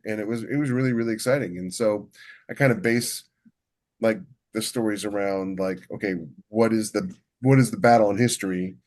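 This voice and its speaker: A man chatting casually as a podcast co-host and writer, halting and restarting as he thinks aloud. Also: fairly low pitch, a little mellow. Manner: halting, thinks aloud with many repeated false starts. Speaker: podcast co-host and science fiction author. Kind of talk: casual chat about book writing.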